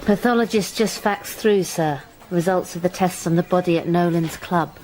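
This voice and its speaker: An actress playing a brisk, dutiful junior police detective in a radio drama, businesslike and deferential. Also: female voice, on the low side, darkish tone, lightly acted, poor audio. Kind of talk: naturalistic radio drama acting.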